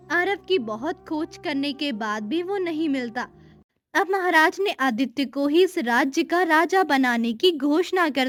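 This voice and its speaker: A woman's voice: sits high, fairly bright tone. A woman narrator reading a scripted children's moral story.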